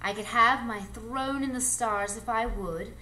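A woman playing an alluring, ageless, mysterious adventuress in a live radio drama, speaking in a measured, coy, theatrical way. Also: on the high side, sharp tone. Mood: wistful. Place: live performance space before an audience. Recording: noisy live stage recording.

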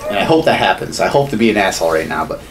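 A man's voice: low voice, lively intonation, very fast, a crowd in the background. A man in his thirties ranting casually and profanely as a podcast co-host in a small room. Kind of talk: casual opinionated chat about games and movies. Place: small home studio room, indoors. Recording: clearly noisy room recording.

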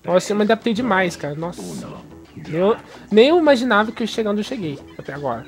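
A man's voice: high-pitched, expressive, fast talker. A man hosting a gaming channel, chatting casually over gameplay in an emphatic, slangy way and repeating his exclamations. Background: video game music.

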